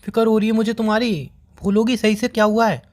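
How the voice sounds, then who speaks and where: A man's voice: high voice, steady tone, quick pace, darkish tone, close to an everyday voice. A young man with an Indian accent acting as a worried, devoted boyfriend in a phone-call drama, pleading and questioning insistently.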